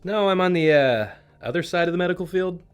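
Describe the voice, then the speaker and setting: A man's voice: sits high, fairly quick, clean tone, soft background music, lightly acted. An actor playing an evasive, disgruntled horseman of plague in a casual, hesitant way for a comedic audio interview.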